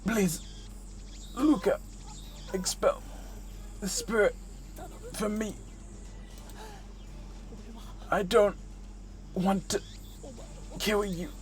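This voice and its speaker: A man's voice: sits high, steady tone, slow, pauses often, slight background noise, voice pushed up. Man playing a theatrical, self-important young man. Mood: pleading, anguished.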